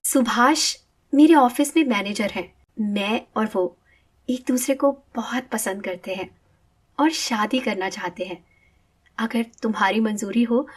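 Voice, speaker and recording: A woman voicing a devoted young adult daughter in a moral story, earnest and insistent. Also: a little high. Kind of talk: animated moral story dialogue.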